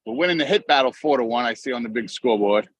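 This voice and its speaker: A man's voice: mid-range voice, animated, fast, breathy edge, no echo. A man giving casual, chatty commentary at a baseball game. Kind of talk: casual sports chat.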